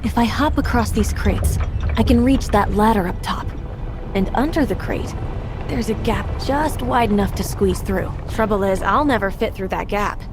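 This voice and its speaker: A woman playing a quick-witted, confident young adventurer in a video game, playful and given to reasoning aloud. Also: mid-range voice, background noise, natural voice. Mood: determined, thoughtful. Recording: music behind the voice.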